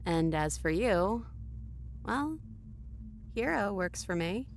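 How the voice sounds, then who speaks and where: A woman playing a sincere, wry young princess in a video game, talking in a soft, conversational way. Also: mid-range voice, big pitch swings, takes it slowly, a little hiss or hum. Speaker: game character.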